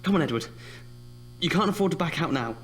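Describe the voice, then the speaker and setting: An actor playing an anxious young British student in an audio drama, nervously talking himself through his fear of the dark. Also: on the high side, lively intonation, quick pace, slight background noise, lightly acted. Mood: uneasy, wry. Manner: jittery, muttering self-talk with sighs. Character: anxious student afraid of the dark, self-scolding.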